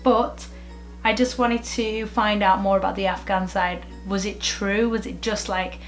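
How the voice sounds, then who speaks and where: A woman's voice: medium pitch, unhurried, soft background music. Chatty young woman vlogging. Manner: chatty and expressive, with filler likes. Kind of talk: casual vlog chat.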